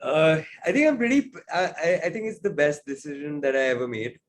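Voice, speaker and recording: A man's voice: fairly high pitch, big pitch swings, brisk. A man, a singer-songwriter answering interview questions in a reflective way, with many fillers.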